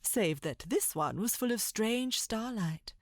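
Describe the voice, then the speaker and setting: A woman narrating a classic storybook tale in a measured, literary way. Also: fairly high pitch, expressive, dry recording, studio quality. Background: music.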